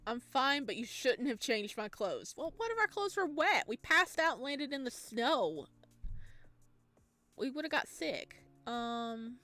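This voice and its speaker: A woman reading a video game's text aloud and chatting with expressive, excited reactions as she plays. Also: high voice, takes it slowly, dry recording. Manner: chatty, expressive asides between read lines. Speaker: video game streamer, let's player. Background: game music.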